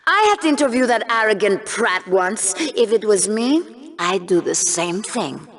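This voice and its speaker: A woman's voice: mid-range voice, lively intonation, measured pace. An actress playing a morbid, scornful female co-host giving sardonic commentary on a video game combat sports show.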